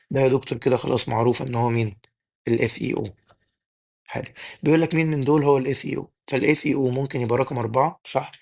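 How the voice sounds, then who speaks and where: Brief: young egyptian male tutor, rapid-fire delivery, gravelly and half-gone voice, quizzes himself aloud. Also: mid-range voice. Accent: Egyptian Arabic. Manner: rapid drilling, asks and answers his own questions. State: losing his voice. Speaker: chemistry teacher. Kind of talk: exam-prep tutoring lesson.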